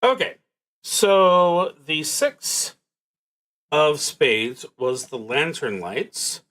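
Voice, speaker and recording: A man streaming a solo tabletop roleplaying game, thinking aloud in a halting, reflective way as he works through his moves. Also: fairly high pitch, sing-song, fairly bright tone, rough recording.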